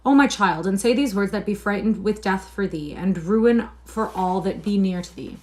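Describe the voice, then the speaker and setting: A woman's voice: medium pitch, quick pace, close to an everyday voice. A woman reading aloud and voicing a distraught poor mother, tearful and pleading. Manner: pleading, lamenting.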